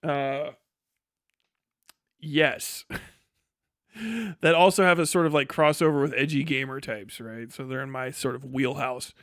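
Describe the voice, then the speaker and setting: A man's voice: mid-range voice, steady tone, measured pace, pauses often, a bit bright, no room sound, studio quality. A man giving casual, opinionated livestream commentary in a blunt, sarcastic and profane way. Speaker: livestream host reacting to a debate video.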